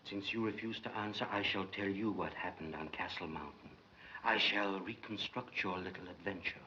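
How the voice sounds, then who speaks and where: An actor playing a stern, vindictive schoolmaster who questions in an insinuating, imperious way, in the manner of old film drama. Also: male voice, deep voice, normal speed, bright, forceful tone. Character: stern bullying schoolmaster, prying and vindictive inquisitor. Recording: dull, noisy old film soundtrack.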